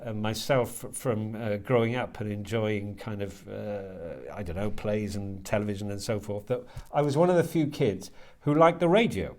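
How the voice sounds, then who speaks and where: A British man in his fifties talking reflectively in an interview, halting and restarting as he searches for his words. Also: fairly low pitch, darkish tone. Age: 50s. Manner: hesitant, halting, searching for words with repeated starts. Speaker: interviewee, writer and lecturer.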